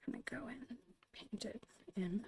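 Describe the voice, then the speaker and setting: A woman murmuring casual ASMR painting commentary in a whispery, creaky voice, unhurried and thinking aloud, close to the mic. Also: on the high side, background noise. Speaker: ASMR digital art streamer. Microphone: close microphone, dry.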